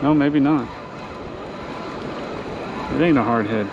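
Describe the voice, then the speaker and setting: Male fishing vlogger, gravelly voice, laid-back self-talk, muffled camera mic with loud wind and surf. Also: medium pitch, slow pace. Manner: relaxed, talking to himself while fishing. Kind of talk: casual first-person commentary. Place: outdoors on an ocean fishing pier. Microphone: action camera worn on the body.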